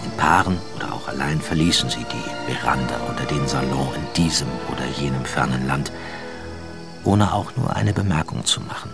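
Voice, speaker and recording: Solemn radio play narration, really low voice, measured, big music under it. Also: male voice, creaky. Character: solemn literary narrator of a sea tale. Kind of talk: scripted narration in radio drama. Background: loud music.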